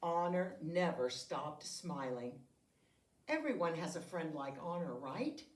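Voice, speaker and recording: A woman reading a children's picture book aloud with deliberate, expressive phrasing meant for young listeners. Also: low voice. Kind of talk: read-aloud storytelling. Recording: roomy echo, distant microphone.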